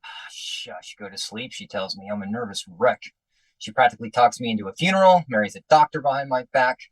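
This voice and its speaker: An actor playing a grumpy, nervous husband in a 1940s-style radio comedy, sarcastic and grumbling with quick comebacks. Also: male voice, medium pitch, no echo, distant mic, close to an everyday voice, poor audio. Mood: ranting. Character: grumpy, nervous middle-aged husband before surgery.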